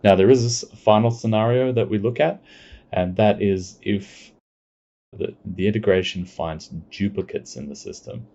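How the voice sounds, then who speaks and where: A man giving a plain, step-by-step screencast walkthrough of software. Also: lowish voice, gravelly. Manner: plain step-by-step explaining, unhurried. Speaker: software demonstrator. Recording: dull lo-fi recording.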